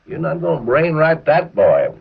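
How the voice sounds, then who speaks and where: A man's voice: on the high side, wildly swooping pitch, normal speed, gravelly, breathy edge, heavily acted. An actor playing a folksy, plainspoken small-town policeman in an old radio drama. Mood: amused concern. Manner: folksy, colloquial. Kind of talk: 1950s radio drama acting and narration. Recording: muffled old radio recording, faint music.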